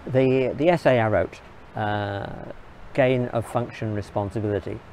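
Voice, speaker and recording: A man from England, giving an earnest, measured opinion monologue in short, halting phrases. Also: on the low side, steady tone, a little mellow, slight background noise. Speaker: video blogger.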